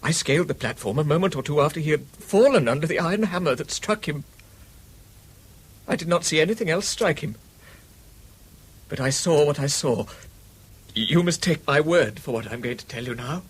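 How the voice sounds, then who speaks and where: An actor playing a solemn man in a radio drama, recounting a strange sight earnestly and deliberately. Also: fairly high pitch, expressive, breathy edge. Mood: grave, suspenseful. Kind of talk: dramatic radio play monologue.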